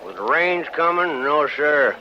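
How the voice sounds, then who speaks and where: A scheming, unfeeling wagon driver of the old West. His voice is fairly high, with blunt, wry, folksy phrasing. The vintage radio recording is muffled and noisy. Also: lively intonation, a little slow. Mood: scornful, blunt. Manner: drawling rustic grammar, blunt, wry. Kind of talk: old-time radio western acting.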